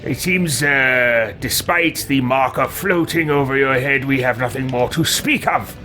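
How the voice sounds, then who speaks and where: A man's voice: mid-range voice, unhurried, bright, forceful tone. A man voicing a pompous, grandiose adventurer in video game roleplay, haughty and theatrical, stammering when confused. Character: pompous, grandiose male adventurer bent on ruling. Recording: game music behind the voice.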